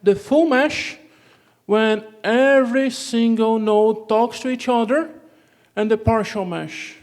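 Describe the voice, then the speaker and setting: A high-voiced man with a Brazilian Portuguese accent gives a technical conference talk. He often breaks off mid-word, restarts, and tags sentences with "okay". His voice carries the long reverb of a conference hall. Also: takes it slowly. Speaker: conference presenter.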